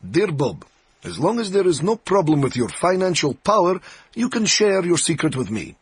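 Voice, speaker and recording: A man's voice: high voice, sing-song, moderate pace, husky, no echo, exaggerated voice. An actor playing a formal, oily Russian agency businessman in a radio comedy, stiff and over-polite. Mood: smooth, officious. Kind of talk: radio comedy acting, reading out emails.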